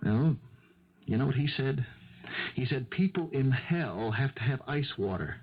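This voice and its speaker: An actor playing a defensive, hot-tempered man in his twenties in a 1970s radio drama, stammering and excitable. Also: medium pitch, unhurried, a little hiss or hum. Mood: agitated. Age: 20s. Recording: muffled 1970s radio broadcast.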